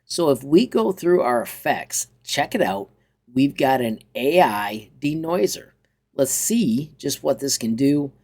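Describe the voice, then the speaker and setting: Male how-to presenter, medium pitch, informal and enthusiastic, talking viewers through software. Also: big pitch swings, unhurried. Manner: chatty, enthusiastic. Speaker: tutorial host. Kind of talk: informal software walkthrough with upbeat sign-off.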